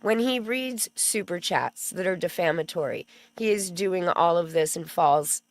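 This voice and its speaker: A woman's voice: lowish voice, unhurried, no echo. A woman hosting a casual commentary stream, chatty and sarcastic, who stops to read legal text aloud. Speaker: commentary channel host.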